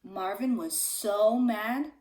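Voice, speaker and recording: A woman's voice: medium pitch, slow, a little mellow, roomy sound. A woman reading a storybook aloud to young children like a teacher, with simple, emphatic phrasing.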